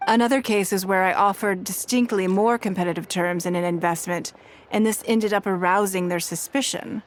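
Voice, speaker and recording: A woman playing a composed, shrewd and wealthy businesswoman in a video game, speaking in a measured, formal way. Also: medium pitch, steady tone, a little hiss or hum, close to an everyday voice. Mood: reflective, composed. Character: poised, shrewd, wealthy businesswoman of high standing. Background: background music.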